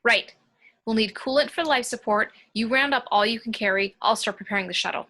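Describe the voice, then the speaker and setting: A woman's voice: on the high side, brisk, sharp tone. A woman playing a resolute, practical spaceship warrant officer in an amateur sci-fi table read.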